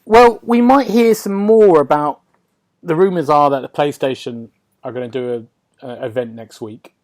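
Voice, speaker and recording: A man's voice: fairly high pitch, normal speed. A man hosting a casual gaming podcast, rambling and thinking aloud, often seeking agreement. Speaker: podcast host.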